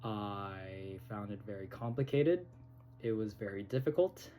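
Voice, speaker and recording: A man talking to his phone camera in a casual vlog, halting and hesitant, with many pauses. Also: fairly low pitch, lively intonation, warm tone. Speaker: vlogger. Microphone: phone recording.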